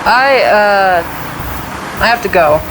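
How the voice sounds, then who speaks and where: A woman's voice: mid-range voice, expressive, slow pace, noisy background. A young woman playing an aloof, reticent teenage newcomer with terse replies in an audio play. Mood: guarded. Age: teens to young adult. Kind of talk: youth audio play acting.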